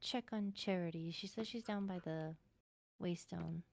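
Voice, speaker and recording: A woman's voice: lowish voice, a little slow, vocal fry, a little hiss or hum. A woman playing a caring, easygoing young member of a fantasy court in a casual improvised Minecraft roleplay.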